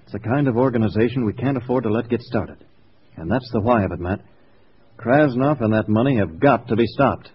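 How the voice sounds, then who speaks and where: An actor playing a brisk, slangy male FBI officer with an American accent, clipped and businesslike, in 1950s radio drama style. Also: mid-range voice, natural voice. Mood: grim, brisk. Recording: muffled old radio recording, faint noise.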